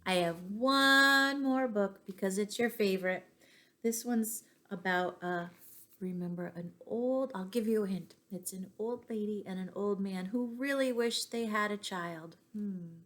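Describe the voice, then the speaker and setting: A woman's voice: medium pitch, unhurried, fairly bright tone. A woman teacher reading stories aloud to young children, stopping for questions and asides to her listeners.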